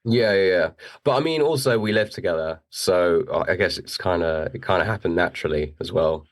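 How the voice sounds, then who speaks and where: A young man with a British accent tinged with American, speaking as an actor guest in a relaxed, hesitant interview chat, laughing easily. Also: sits low, fairly quick. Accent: British with a hint of American.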